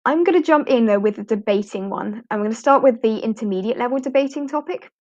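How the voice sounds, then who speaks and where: A woman's voice: on the high side, quick pace, a little mellow, dry recording, muffled recording. A British woman from the UK, an online English teacher, rambling chattily through a live walkthrough, with fillers and light laughs. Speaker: online ESL teacher hosting a livestream.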